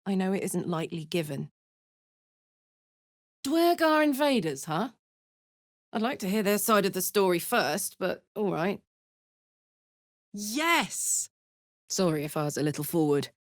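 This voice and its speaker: A brash, big-hearted warrior woman from the hells for a video game. Her delivery is slow but highly animated, swinging widely in pitch. She is blunt and sweary yet wears her feelings openly, and the recording is close and dry. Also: no room sound. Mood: brash, casual. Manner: earthy, blunt, sweary, emotionally open. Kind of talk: video game character voice lines.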